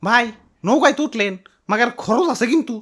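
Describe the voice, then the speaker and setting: A performer voicing an excitable, power-hungry cartoon schemer in colloquial Bangladeshi speech, exclaiming and repeating phrases. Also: mid-range voice, fast, noisy background, lightly acted. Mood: matter-of-fact. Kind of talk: Bengali comedy cartoon dubbing.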